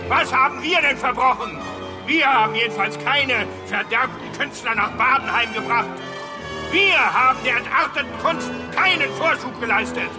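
A man acting an indignant, blaming townsperson in a radio play, with a shrill, accusatory manner. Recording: music playing behind the voice. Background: music.

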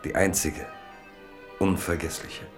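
Narrator for literary radio play: man, bottomless bass voice, solemn and poetic, soft music underneath. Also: steady tone, takes it slowly. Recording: violin music behind narration.

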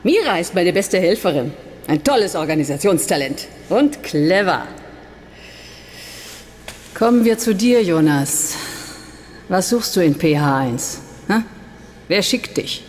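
An actress playing a coolly sardonic urban guerrilla leader in a radio drama, self-assured, taunting and given to declaiming. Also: female voice, on the low side, sing-song, a little slow, long pauses, a little mellow. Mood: mocking, commanding. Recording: long reverb of a large hall.

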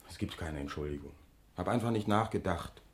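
An actor playing a weary, apologetic tanker driver and husband in a 1960s German radio drama. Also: male voice, low-pitched, lively intonation, fairly quick. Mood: sheepish, subdued.

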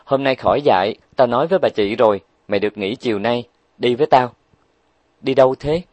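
A man voicing a brash, boastful young woman who is rich and bossy, talking loudly and bragging. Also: medium pitch, expressive, a little fast, dry recording, close to an everyday voice.

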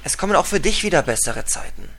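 A man's voice: a little high, big pitch swings, fast, fairly bright tone, slight background noise. A man playing a pompous, overearnest hero and comforter of comrades in a parody audio drama, talking theatrically. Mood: earnest, consoling. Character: pompous heroic speechmaker, earnest comforter of comrades.

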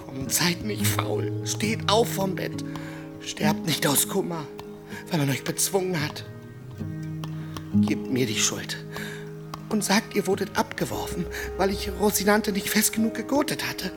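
A woman's voice: on the low side, expressive, measured pace, loud music behind the voice. A woman playing a loyal, earthy squire in a radio play, imploring and emotional in manner. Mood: pleading. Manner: imploring, plain-spoken, emotional. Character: loyal, pleading squire to a dying knight, earthy. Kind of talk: literary radio play acting.

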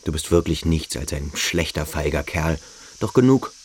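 Very deep, cold, brooding schoolboy. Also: male voice, lively intonation, moderate pace, breathy edge, heavily acted. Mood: cold, dismissive. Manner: detached, dismissive, probing. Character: cold, aloof, brooding male boarding-school pupil. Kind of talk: radio drama acting. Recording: music behind the voice.

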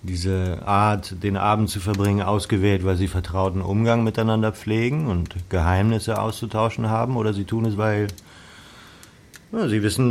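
An actor playing a proud, reserved young Regency gentleman in a radio drama, with measured, formal and slightly hesitant phrasing. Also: on the low side, close to an everyday voice. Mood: dry, hesitant. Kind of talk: naturalistic German radio drama acting.

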